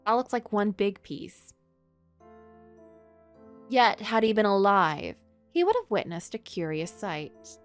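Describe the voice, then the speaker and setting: A woman's voice: medium pitch, lively intonation, slow, pauses often, faint music behind. A woman streaming a game, reading story text expressively and adding casual, joking reactions.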